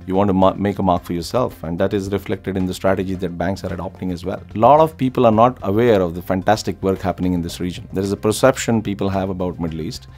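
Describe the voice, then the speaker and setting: 40s business guy interviewed, low creaky voice, music behind. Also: fairly even intonation, quick pace, few pauses, a little mellow. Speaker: business executive, expert commentator. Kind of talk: interview answers. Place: indoor room, plain wall. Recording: roomy echo, music underneath.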